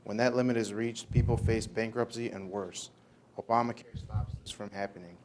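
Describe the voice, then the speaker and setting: A young man playing a serious senator, reading a measured scripted speech in readers theater. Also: fairly low pitch, steady tone, natural voice. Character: serious senator defending health care law. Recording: noisy live room recording.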